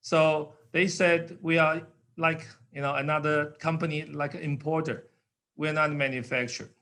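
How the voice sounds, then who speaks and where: An older man with a Taiwanese accent talks as an interview guest, his voice sitting a little high for a man. His English is simple and a bit broken, with frequent pauses and filler sounds as he explains things in plain, patient terms. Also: fairly bright tone. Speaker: guest, company founder.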